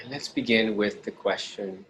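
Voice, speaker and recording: A man with a fairly low voice guides a meditation over noticeable background noise. He speaks slowly and deliberately in short phrases with pauses between them. His delivery is gentle and instructional. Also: low-quality recording. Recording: clearly audible background noise.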